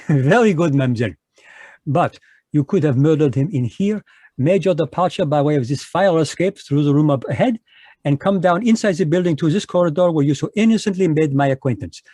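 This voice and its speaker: An actor playing a fussy, precise Belgian detective in a 1940s-style radio play, with a put-on French accent, courtly and lecturing, dropping French words. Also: male voice, on the high side, brisk, warm tone, no room sound, lightly acted. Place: home, live video call.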